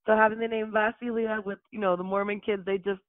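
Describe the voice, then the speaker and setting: A woman's voice: medium pitch, flat delivery, fast, dry recording. Woman, chatty interview guest, laughs a lot, tinny phone-like sound, dry. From Utah, USA. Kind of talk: casual interview chat.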